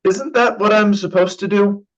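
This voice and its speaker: A man's voice: high voice, normal speed, muffled recording, natural voice. A man acting in a naturalistic play reading as an evasive, joking young brother who hides his drinking. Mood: casual, joking. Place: remote online staged reading.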